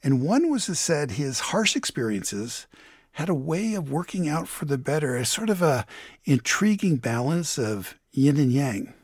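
A man's voice: on the high side, big pitch swings, unhurried, husky, dry recording, studio quality. An American man giving a conversational radio report as a contributor, telling a story with emphasis. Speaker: economics contributor.

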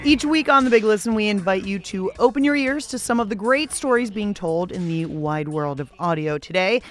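A woman's voice: mid-range voice, expressive, moderate pace, faint music behind. An American woman hosting a radio show, reading scripted narration with playful, theatrical emphasis and casual asides.

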